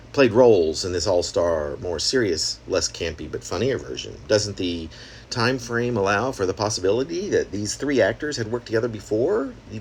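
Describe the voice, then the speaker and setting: A man's voice: lowish voice, slight background noise. A man reading his own experimental prose poem aloud in a conversational, wry manner, slipping in asides and rhetorical questions. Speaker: poet reading own work.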